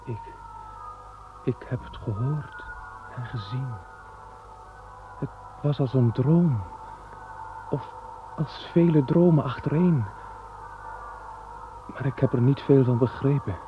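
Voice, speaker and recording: A man playing a confused, humble man who speaks softly and hesitantly in an old radio play. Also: mid-range voice, slow pace, long pauses, background noise, natural voice. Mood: bewildered. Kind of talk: 1960s Dutch radio drama. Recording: dull old radio recording with music.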